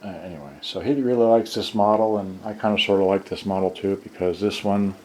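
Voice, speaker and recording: A man's voice: on the low side, fairly even intonation, fairly quick, slight background noise. A man giving an unscripted hands-on product demo as a repairer, rambling in fragments while he handles the gear. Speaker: cassette player repairer demonstrating serviced units. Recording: roomy, distant mic with loud music. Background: music from cassette players.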